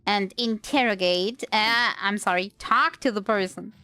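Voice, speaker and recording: A woman's voice: mid-range voice, unhurried, bright, forceful tone, clear, resonant tone. A woman game streamer giving casual, playful, quipping commentary on a livestream.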